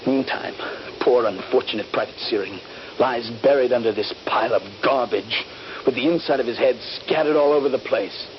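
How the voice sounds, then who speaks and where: An actor playing a trapped, wounded Union soldier, frightened and bitter, with intense, deliberate dramatic phrasing in a radio drama. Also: male voice, mid-range voice, natural voice. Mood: bitter, accusing. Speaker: actor narrating and playing the lead. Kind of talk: radio drama acting with first-person narration. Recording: dull, noisy old radio broadcast.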